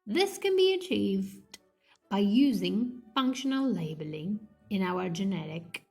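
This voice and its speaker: A woman reading an academic textbook aloud in a measured, slightly halting way. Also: medium pitch, animated, creaky, a little mellow, faint music behind. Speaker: reader of a linguistics textbook. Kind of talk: read-aloud textbook narration.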